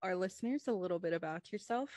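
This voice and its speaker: A woman's voice: on the low side, animated, fast, darkish tone, no echo, clean studio sound. A woman hosting a podcast, chatting informally and admiringly with a guest. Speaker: podcast host. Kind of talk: casual interview chat.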